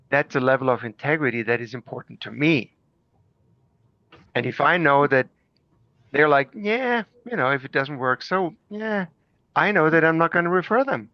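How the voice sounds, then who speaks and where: A man's voice: medium pitch, no room sound, dull audio. A man from Germany explaining things conversationally through personal anecdotes. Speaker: group call participant.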